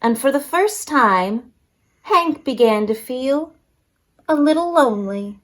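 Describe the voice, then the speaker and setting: A woman's voice: sits high, expressive, slow pace. A woman reading a picture book aloud expressively for young children, as a story-time reader.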